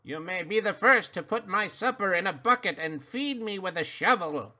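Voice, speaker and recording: A man voicing a small, bossy female sand creature who cackles and speaks slowly and commandingly. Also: sits high, animated, bright, forceful tone, voice pushed up, full character voice. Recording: dull lo-fi sound.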